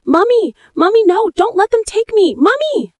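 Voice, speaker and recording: A woman's voice: very high-pitched, big pitch swings, normal speed, a little mellow, dry recording, pitched above the performer's own voice. A woman voicing a terrified little girl in an audiobook, pleading shrilly and desperately. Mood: screaming, desperate. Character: small terrified girl of about eight, pleading for her mother.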